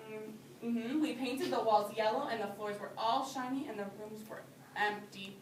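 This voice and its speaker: High-voiced woman teacher reading to children. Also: normal speed. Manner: simple explanatory phrasing, addressing small children. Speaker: teacher reading a picture book aloud to young children. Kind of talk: read-aloud storytelling with explanations for young children. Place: indoor classroom. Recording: roomy, distant microphone with background noise.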